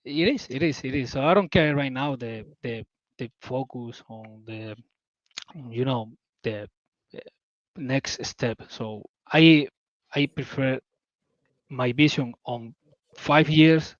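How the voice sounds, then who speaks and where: Spanish-accented man, halting eager English. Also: medium pitch, dry recording, dull audio. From southern Spain. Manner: halting, searching for English words, enthusiastic. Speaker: community member guest. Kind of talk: casual call talk.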